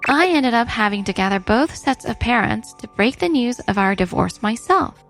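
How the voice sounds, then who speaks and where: An earnest young wife played by a woman tells her story in a dubbed manga drama. Her voice sits on the high side and sounds wounded. Music plays softly underneath. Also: expressive, moderate pace. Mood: somber narration. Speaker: narrator and lead character. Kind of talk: scripted first-person story narration and dubbed dialogue.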